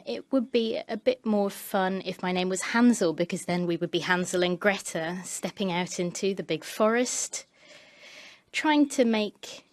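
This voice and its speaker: A woman giving a simultaneous interpretation of a committee speech, steady and fluent. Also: fairly low pitch, no room sound. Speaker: parliamentary interpreter.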